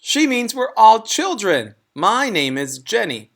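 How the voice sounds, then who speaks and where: Man putting on an insistent, high-pitched schoolchild. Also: big pitch swings, slow pace, fairly bright tone, full character voice. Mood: protesting. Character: insistent young schoolchildren, boys and girls, plainly protesting.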